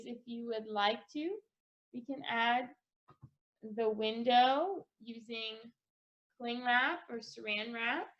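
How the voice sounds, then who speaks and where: A woman's voice: a little high, fairly bright tone, highs cut off. A woman giving a step-by-step craft tutorial for children in an encouraging, instructional way. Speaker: craft lesson host.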